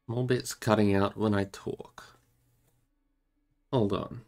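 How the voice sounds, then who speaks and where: A man's voice: lowish voice, fairly even intonation, takes it slowly, a little mellow. A man narrating a book aloud on a livestream, moving between storybook reading and casual chat with listeners.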